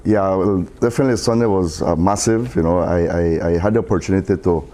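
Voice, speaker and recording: A hoarse man speaking as a political candidate in a studio interview, pausing with many ums. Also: deep voice, mellow.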